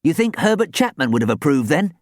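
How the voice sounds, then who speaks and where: A man doing an excitable young boy footballer. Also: fairly high pitch, brisk, no room sound, clean studio sound, voice pushed up. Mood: excited. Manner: excited and boastful.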